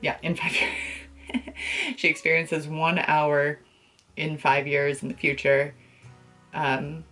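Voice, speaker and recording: A young woman chatting casually to the camera as a book vlogger, with an expressive, gushing and emphatic way of talking. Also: low voice, slow pace, long pauses, soft background music. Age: young adult. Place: small bedroom, indoors.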